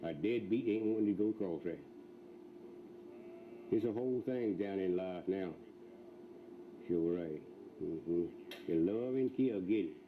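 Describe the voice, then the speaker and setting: An elderly man with a Southern US accent talks off the cuff in folksy rural dialect. His voice sits low, and the recording is narrow and tinny with some faint noise behind him. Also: unhurried, long pauses. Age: 80s. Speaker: elderly farmer, subject of a news feature. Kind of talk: unscripted reminiscing. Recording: thin phone-like sound, faint noise.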